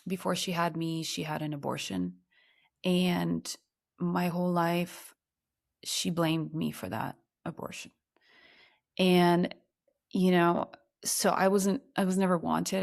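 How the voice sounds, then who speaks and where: Podcast guest: woman from Ukraine, thirties, slightly low voice, earnest, reflective storytelling. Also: vocal fry. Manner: reflective, earnest, slows down on emotional points. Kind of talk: personal storytelling in conversation.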